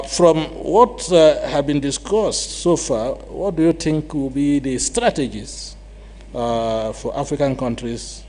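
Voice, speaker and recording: A man moderating a panel discussion, asking a long question with frequent pauses. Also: medium pitch, a little mellow, slight background noise. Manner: halting, pauses often mid-question.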